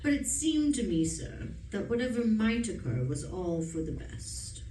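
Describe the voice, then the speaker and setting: A woman playing a composed, formal male valet in a radio play, speaking in a measured, politely deferential way. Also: mid-range voice, big pitch swings, dark tone. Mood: calmly deferential. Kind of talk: stage radio play acting. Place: live performance in a room, distant mic. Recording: roomy, distant, faint noise.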